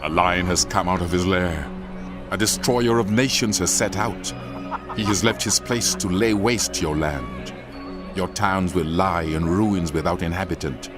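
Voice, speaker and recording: A man's voice: sits low, measured pace, music in the background. An actor playing an anguished prophet who warns his doomed people, in an impassioned, urgent dramatized scripture reading. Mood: grave warning.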